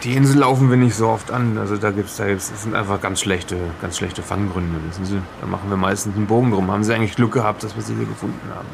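A man's voice: lowish voice, fairly even intonation, fast, few pauses. A man playing a chatty, nosy aging fisherman in an improvised audio drama, rambling and repeating himself. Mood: curious, probing. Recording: clearly noisy background, vehicle-like ambience.

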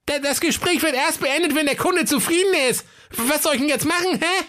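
A whiny young prince from a comic fairytale, speaks in a high, squeaky falsetto. He stammers, complains in slang and sounds easily panicked, with a cheeky streak. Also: brisk, pushed and bright. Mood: desperate.

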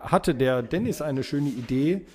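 A man's voice: fairly high pitch, lively intonation, a little slow, warm tone. A man co-hosting a podcast, casually moderating and bantering with the others. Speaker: podcast co-host.

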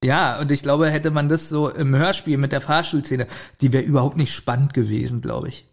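A man's voice: mid-range voice, fairly even intonation, normal speed. A man co-hosting a podcast, commenting on a story in informal, opinionated chat. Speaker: podcast co-host. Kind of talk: casual chat reviewing an audio drama.

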